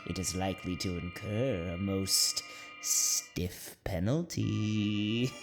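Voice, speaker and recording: A man's voice: on the low side, vocal fry. A man playing a gleefully macabre horror host in a radio drama, drawing out his words with punning relish. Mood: mock-grave glee. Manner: drawn-out, punning delivery with relish. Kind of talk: theatrical horror-show hosting. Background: music.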